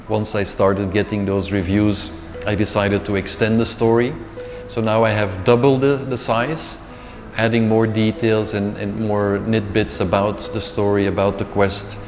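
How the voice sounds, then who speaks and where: Interview guest: middle-aged man, Dutch native speaker, low voice, casual and unhurried, restarts words. Dull lo-fi sound, long reverb, faint music under it. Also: a little mellow. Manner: unhurried, repeats words while searching.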